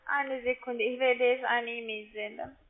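Female telesales agent, non-native with foreign accent, high voice, halting delivery, phone-line sound. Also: steady tone, vocal fry. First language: not German. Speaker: call centre account agent selling online trading. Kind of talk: phone sales pitch. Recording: tinny, narrow phone line.

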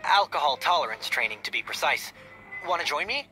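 A man's voice: fairly high pitch, animated, brisk, natural voice. A man voicing a boastful, tipsy young adventurer in an anime game. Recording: game music behind the voice.

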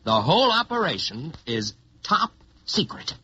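A man's voice: fairly high pitch, sing-song, a little slow, sharp tone, slightly rough, full character voice. A man playing a brash, impatient American boss in a vintage radio drama, slangy and blunt. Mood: brisk, commanding. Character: brash, impatient modern American man bossing King Arthur's court. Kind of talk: 1940s radio acting. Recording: dull old radio broadcast recording.

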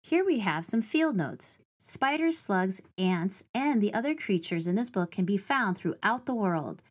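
A woman's voice: mid-range voice, animated, measured pace. Woman reading a children's book aloud. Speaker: teaching artist reading a picture book aloud. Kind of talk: children's read-aloud of a rhyming picture book and nature facts.

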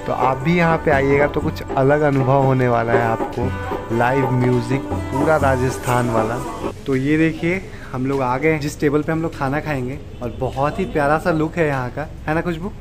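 A man's voice: medium pitch, fairly even intonation, normal speed, over music. A young man vlogging a restaurant visit, enthusiastically telling his viewers about the food and decor. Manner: enthusiastic, addresses viewers directly. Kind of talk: casual food vlog narration. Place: indoor restaurant.

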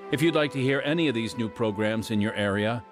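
A man announcing a radio program in polished, measured scripted narration. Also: mid-range voice, soft background music.

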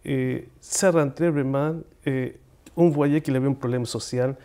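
Middle-aged man with a Chilean Spanish accent, dark mellow tone, earnest TV studio guest who halts and repeats words. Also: mid-range voice, fairly even intonation. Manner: earnest, halting, repeats words while searching for them. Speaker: guest poet and speaker. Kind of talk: studio interview answers.

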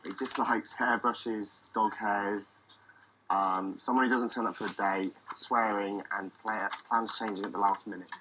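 A man's voice: on the low side, fairly even intonation, a little slow, bright, forceful tone, slight background noise, rough recording. A young man explaining things casually. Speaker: dating agency support worker.

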